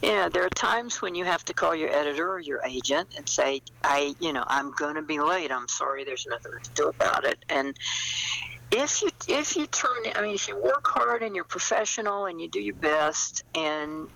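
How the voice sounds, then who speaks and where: An older woman chatting as an interview guest, reflective and anecdotal, with hesitant pauses. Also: low voice, animated, vocal fry, sharp tone, poor audio. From small-town United States. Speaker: guest, novelist. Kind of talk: casual interview chat.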